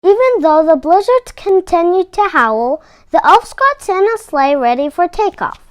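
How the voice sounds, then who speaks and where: A woman storyteller reading a children's storybook aloud with expressive, emphatic phrasing. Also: extremely high pitch, unhurried, dry recording.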